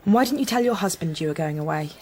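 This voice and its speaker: An actress playing a stern, officious police officer in a radio drama, with a brisk, reproving and formal manner. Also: female voice, lowish voice, animated, a little mellow, husky.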